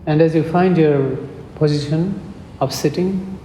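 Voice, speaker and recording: A man leading a guided meditation as its teacher, speaking in a measured way with pauses between short phrases. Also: fairly high pitch, dark tone. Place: indoor group meditation session. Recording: roomy hall reverb with background noise.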